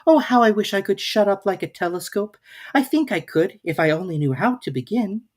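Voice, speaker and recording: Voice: mid-range voice, expressive, a little fast, voice pushed up, lightly acted. A storybook narrator voicing Alice, a curious, earnest little girl who talks to herself emphatically. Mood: wishful. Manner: exclaiming, emphatic, talking to herself. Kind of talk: storybook character reading.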